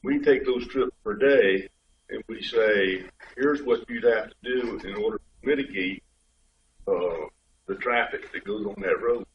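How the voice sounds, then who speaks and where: A man's voice: lowish voice, measured pace, recorded from a distance. A man with a head cold lays out his points in a plain, step-by-step way at a community board meeting. He sounds set back from the microphone in an echoey meeting room. The pickup is dull, with a faint hum underneath. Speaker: state highway official. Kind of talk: explaining at a public board meeting.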